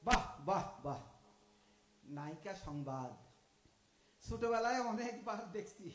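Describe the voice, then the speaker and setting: A man's voice: sits high, lively intonation, measured pace. A man acting an aging, cheeky thief in an audio drama, with an East Bengali dialect and a folksy, mock-polite, talkative manner. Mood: wry, teasing. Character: aging male thief, cheeky, philosophizing, self-styled honest. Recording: echoey room, far from the mic.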